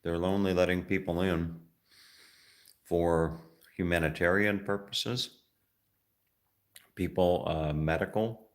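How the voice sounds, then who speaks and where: A man from the United States with an extremely low, deep voice, talking loosely to the camera as a travel vlogger. He rambles, halts and restarts, filling gaps with "uh" and "you know". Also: fairly even intonation. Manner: rambling, halting, many fillers and restarts. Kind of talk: casual vlog talk.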